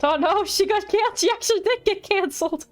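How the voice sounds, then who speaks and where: High-voiced woman streamer reacting to a game. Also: brisk. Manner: reactive, playful. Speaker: livestreamer. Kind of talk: casual game reaction commentary. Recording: faint game music behind.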